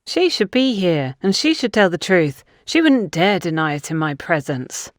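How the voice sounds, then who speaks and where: A woman's voice: lowish voice, sing-song, normal speed, dry recording, studio quality. A woman voicing a defensive, suspicious young woman under questioning in an audio drama, with an accusatory, indignant manner. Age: young adult.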